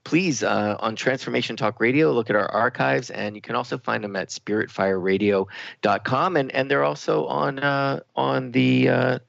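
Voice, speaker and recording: A man co-hosting a spiritual talk radio show in a reflective, halting, conversational way, with slightly dull sound. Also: medium pitch, steady tone, gravelly, fairly bright tone, dry recording. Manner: reflective, halting, searching for words.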